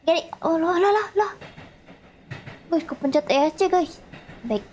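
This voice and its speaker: A woman's voice: extremely high pitch, lively intonation, normal speed, a little mellow, slight background noise. A woman with an Indonesian accent on a casual livestream, chatting and reading game text aloud, sometimes stumbling over words and repeating herself. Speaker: livestreamer playing and reading a visual novel.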